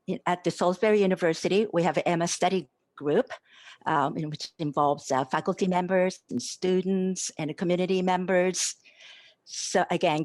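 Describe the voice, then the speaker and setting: A woman whose first language is Japanese walks through conference slides on a video call, earnest and full of small restarts. Her voice sits a bit low. The sound is dry and close. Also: no room sound. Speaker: academic presenter. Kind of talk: conference talk over slides.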